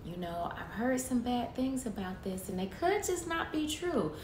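A woman's voice: very animated, moderate pace. A woman voicing a cautious male seal in a story, worried and hesitant, in an echoey room. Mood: uneasy. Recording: echoey room with faint rumbly noise.

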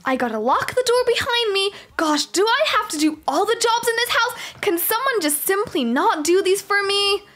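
A spoiled, easily exasperated rich schoolgirl and young mum played by a woman. She has a high voice and sighs and grumbles to herself as she goes through an improvised game roleplay. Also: animated, normal speed, hardly any pauses, fairly bright tone. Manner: sighing, complaining, talking to herself.

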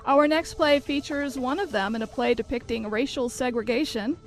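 A woman's voice: sits high, normal speed, faint music behind. A young woman reading scripted announcements as host of a live student radio broadcast. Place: college radio studio.

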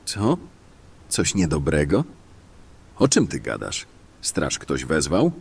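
Casting: male actor for surly, curt fantasy bruiser, low-voiced and edgy, set back from the mic. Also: wildly swooping pitch, slow, creaky, distant mic, exaggerated voice. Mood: groggy, annoyed. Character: irritable, nervous, threatening male fantasy tough guy. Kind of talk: fantasy audio drama acting.

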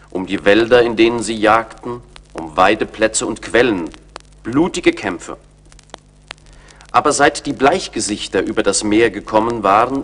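A man narrating a radio play in a measured, deliberate storytelling manner with dramatic weight. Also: lowish voice, a bit bright, slight background noise.

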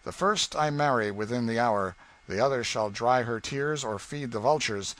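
A man's voice: mid-range voice, normal speed, fairly bright tone, natural voice. A man voicing a brutal, pompous tyrant count from a storybook, with bombastic, threatening archaic speech. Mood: blustering rage.

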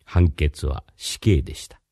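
A man's voice: a bass voice, unhurried, warm tone, husky, no room sound, clean studio sound, exaggerated voice. A man playing a mysterious, courteous philosophy teacher, narrating in a scripted radio drama. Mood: solemn, explanatory.

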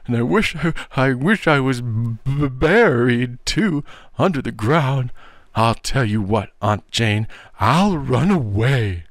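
Man putting on a whiny, high-pitched little boy. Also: sing-song, normal speed, a bit bright, dry recording. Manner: wailing, overdramatic, petulant. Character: whiny, scheming, melodramatic ten-year-old boy.

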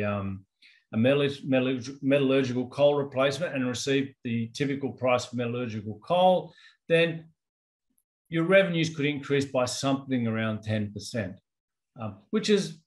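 A man's voice: medium pitch, normal speed. A man in his fifties with an Australian accent, presenting research in a webinar over a video call, in a loose conversational way with many ums and restarts. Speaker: company executive presenting research. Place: indoors, office with branded backdrop.

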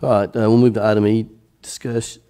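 Mellow, even-toned man chairing. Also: lowish voice, brisk, clean tone. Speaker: mayor chairing the meeting. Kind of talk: formal procedural chairing. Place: council chamber. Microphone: desk microphone on the dais.

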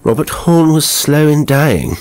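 A man's voice: fairly high pitch, big pitch swings, measured pace, darkish tone, voice pushed up. A man voicing an arrogant, wicked aristocrat in a horror story, imperious, commanding and sneering as he questions. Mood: sneering inquiry.

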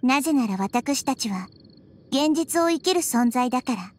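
A woman playing a wise, gentle childlike girl deity in an anime game, explaining things in a measured, thoughtful way. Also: high voice, expressive, a little hiss or hum. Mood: calm, explanatory. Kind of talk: Japanese anime game voice acting. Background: background music.

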